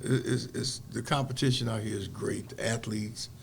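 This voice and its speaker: A man's voice: mid-range voice, steady tone, normal speed, creaky, a little hiss or hum. An older man, speaking earnestly and reflectively as a podcast interview guest. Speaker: guest, community organizer.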